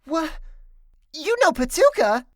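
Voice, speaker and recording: Woman playing a lively little furry boy creature. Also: high voice, takes it slowly, dry recording, studio quality, full character voice. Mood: puzzled. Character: curious, good-natured small furry male creature, amnesiac cursed boy. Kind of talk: animated web series voice acting.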